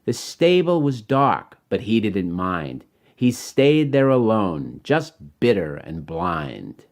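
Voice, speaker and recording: A man reading his own rhyming children's story in an expressive, dramatic way. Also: lowish voice, takes it slowly, vocal fry.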